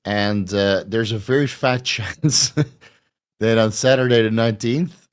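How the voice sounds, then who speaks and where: A man hosting a casual question-and-answer show, blunt and profane, his voice going hoarse. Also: lowish voice. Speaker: podcast host answering viewer questions. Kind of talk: casual live Q&A.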